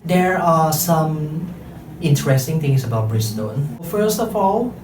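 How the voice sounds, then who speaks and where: A man in his thirties from Thailand, speaking English with a Thai accent as a second language, giving a careful prepared talk with pauses and self-corrections. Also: fairly high pitch, lively intonation, roomy sound, recorded from a distance, background noise. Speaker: interpreter and translator giving a talk.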